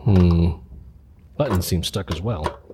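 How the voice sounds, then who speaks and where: An actor playing a nerdy, practical, enthusiastic gadget lover in an audio drama. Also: male voice, low-pitched, takes it slowly, background noise.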